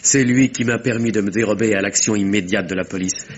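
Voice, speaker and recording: An actor playing an earnest, grateful young husband in a French radio mystery, speaking formally over a slightly noisy recording with ambience. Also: male voice, lowish voice, fairly even intonation, brisk, close to an everyday voice. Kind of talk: 1950s-style French radio drama acting.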